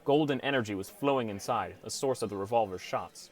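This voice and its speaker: A man's voice: on the low side, fairly quick, faint music behind. A man reading scripted first-person story narration as an audiobook narrator.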